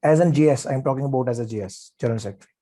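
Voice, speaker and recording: A man, an Urdu speaker, asking halting questions on a video call. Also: mid-range voice, mellow. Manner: halting, keeps inserting "you can say". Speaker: webinar participant asking questions.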